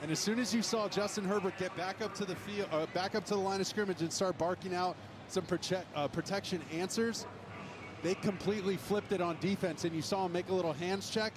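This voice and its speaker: A male colour analyst with a slightly high voice breaks down football plays for a broadcast. He explains things in coaching jargon, with clear game noise behind him. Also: steady tone, a little fast. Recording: noisy game broadcast audio.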